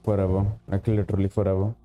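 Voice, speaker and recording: A man's voice: low voice, completely flat delivery, quick pace, narrow, tinny audio. A man bantering casually over gameplay in a slangy, offhand way as a stream co-host.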